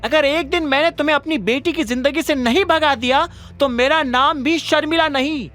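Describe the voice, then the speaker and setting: Man voicing a shrill, furious middle-aged woman. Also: moderate pace, faint music behind. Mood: furious taunting. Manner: shouting, taunting. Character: furious, scornful middle-aged mother-in-law, shrill and bullying. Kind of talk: Hindi audio drama character acting.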